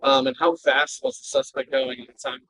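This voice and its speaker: A man's voice: mid-range voice, flat delivery, normal speed, sharp tone, dry recording, low-quality recording. A man working as a reporter, asking questions informally at a press conference.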